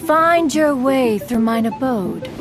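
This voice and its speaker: A woman's voice: sits high, animated, music in the background. A woman playing a proud, aloof, ancient immortal hermit in a video game, speaking in formal, archaic phrases. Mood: regal, composed. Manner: haughty, formal, archaic, measured. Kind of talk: theatrical video game voice acting.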